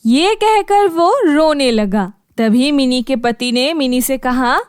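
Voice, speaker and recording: A woman's voice: high-pitched, expressive, takes it slowly, smooth, dry recording, clean studio sound. A woman narrating a scripted children's story as its storyteller.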